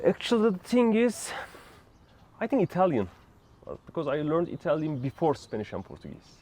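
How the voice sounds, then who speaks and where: A young Turkish man with a Turkish accent, answering an interviewer's questions in loose, halting talk full of fillers. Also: a little high, sing-song, a little mellow. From Turkey. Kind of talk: street interview.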